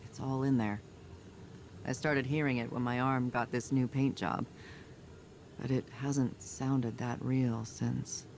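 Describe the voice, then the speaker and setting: A tough female commander from a video game, talks in terse, resolute military phrases. She sounds battle-hardened and determined, over loud noise and game ambience. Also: very deep voice, steady tone, takes it slowly, full character voice. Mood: grave, resolute. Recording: loud music and noisy game ambience behind.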